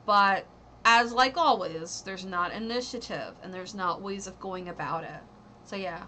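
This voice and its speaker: Woman, 30s, bright voice, loose chatty tarot reader, lots of ums, faint background hiss. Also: mid-range voice, a little slow. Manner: rambling, full of ums and likes. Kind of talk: casual livestream tarot reading.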